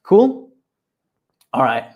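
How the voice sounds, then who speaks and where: A man's voice: very slow, narrow, tinny audio. A middle-aged man from Denmark with a Danish accent, giving an upbeat, direct live webinar talk that addresses his viewers and their chat. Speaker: coach.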